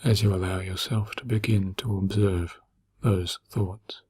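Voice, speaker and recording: Low, husky man guiding meditation. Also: fairly even intonation. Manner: slow, gentle, soothing, with long pauses. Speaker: meditation guide. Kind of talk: guided meditation narration.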